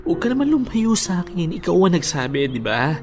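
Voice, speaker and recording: Pleading lovelorn suitor, very expressive. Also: male voice, sits high, a little fast. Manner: pleading, coaxing. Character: lovelorn male suitor, tender and insistent. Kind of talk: emotional Filipino radio drama acting. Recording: music playing behind the voice.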